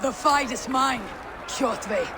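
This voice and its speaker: High-voiced, fierce Viking warrior. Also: male voice, slow pace, a loud crowd, heavy background noise. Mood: resolute. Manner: forceful, declamatory. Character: proud, vengeful young Viking warrior, fierce and resolute. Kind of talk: dramatic video game acting. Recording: loud music over the voice.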